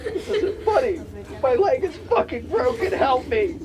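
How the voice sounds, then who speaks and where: An actor playing a podcaster lost in the wilderness in an audio drama, narrating haltingly to his recorder as he grows frightened and paranoid. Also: very high voice, sing-song, a crowd in the background, exaggerated voice. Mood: panicked. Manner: halting self-narration, swearing. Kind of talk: found-tape audio drama monologue.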